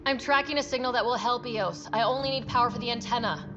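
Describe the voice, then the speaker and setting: An actress playing an earnest, steady young female space explorer in a video game cutscene. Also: on the high side, quick pace, lo-fi sound, music in the background, natural voice. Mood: determined.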